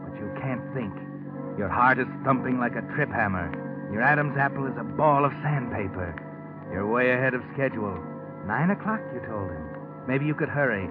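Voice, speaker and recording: An actor playing a sinister, all-knowing narrator in a vintage radio mystery, taunting in clipped phrases. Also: male voice, mid-range voice, lightly acted. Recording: muffled vintage radio recording.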